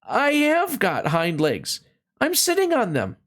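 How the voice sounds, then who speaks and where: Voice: medium pitch, wildly swooping pitch, takes it slowly, fairly bright tone, voice pushed up, heavily acted. A storybook reader voicing a timid, wistful little male toy rabbit with a childlike, insistent way of talking. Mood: stubborn, defensive.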